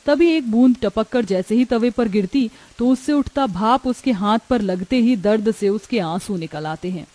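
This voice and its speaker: High-voiced woman narrating a moral story. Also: normal speed, few pauses, mellow.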